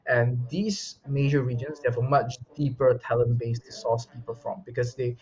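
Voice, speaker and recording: A man's voice: mid-range voice, muffled recording. A man who coaches esports, answering interview questions at length in an articulate, explanatory way, often restarting phrases. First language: English.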